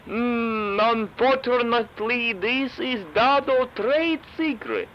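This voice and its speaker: A man's voice: extremely high pitch, slow, dull audio, slight background noise. A man voicing an odd, cheerfully evasive donut salesman who speaks broken English and talks about himself in third person, in a comic audio drama. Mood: self-important. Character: Dado, cheerful evasive shopkeeper of mysterious donut business. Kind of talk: comedic audio drama character acting.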